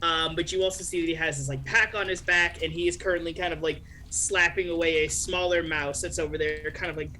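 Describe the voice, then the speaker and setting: A male player in a tabletop game with a bright, forceful voice. He describes his character casually, making it up as he goes. Faint noise is audible behind him. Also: a little high, level tone, a little fast, hardly any pauses. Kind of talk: casual improvised character narration.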